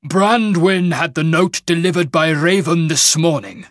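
A man voicing a wrathful, imperious shaman mage lord in a fantasy audiobook, with booming, commanding pronouncements. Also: a little slow, a bit bright, no room sound, studio quality. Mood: indignant. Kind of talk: dramatic fantasy audiobook character.

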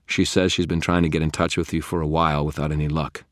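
A man's voice: deep voice, lively intonation, a little slow, dry recording, pitched above the performer's own voice. A patient, concerned male friend in an audiobook as a man acts him out. He speaks politely and earnestly, sometimes hesitating. The sound is close and dry. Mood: serious, concerned.

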